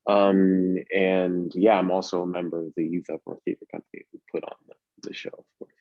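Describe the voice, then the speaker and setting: A young man in his late teens, a student set designer, talking hesitantly with many pauses and restarts. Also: flat delivery, dry recording. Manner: hesitant, many ums and restarts, laughs lightly. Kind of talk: casual discussion on a video call. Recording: dry, muffled low-fi mic.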